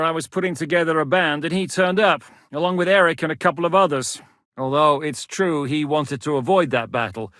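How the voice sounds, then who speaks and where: A shrewd medieval mercenary captain for a video game, blunt and bossy, voice a bit on the high side, really dry recording. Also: male voice, a bit bright, no room sound. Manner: authoritative, blunt, swings from measured to barking. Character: shrewd, commanding medieval Czech mercenary leader and lord. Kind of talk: dramatic video game dialogue.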